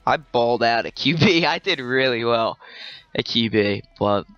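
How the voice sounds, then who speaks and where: A man's voice: mid-range voice, expressive, normal speed, fairly bright tone, smooth, no room sound, lo-fi sound, faint music behind. A man giving casual commentary over his own gameplay, rambling and often correcting himself. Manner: rambling, with frequent self-corrections. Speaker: gaming channel host.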